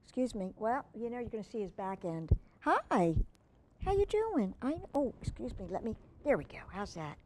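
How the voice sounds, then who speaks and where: A woman's voice: a little high, sing-song, moderate pace, a little mellow, slight background noise. A woman reading a children's book aloud expressively, with chatty asides to young listeners and a pet. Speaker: children's storytime reader.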